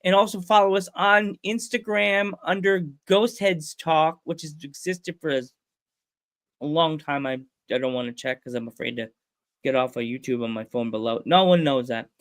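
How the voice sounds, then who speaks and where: A man's voice: on the high side, fairly even intonation, normal speed, a bit bright, dry recording. Stuffed-up man hosting a podcast, chatty. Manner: chatty, laughs often. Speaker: podcast host. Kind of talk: casual chat.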